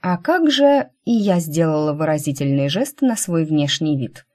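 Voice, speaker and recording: Female audiobook narrator for fantasy fiction, low register, very animated intonation. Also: normal speed. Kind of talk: expressive scripted narration of a first-person fantasy novel.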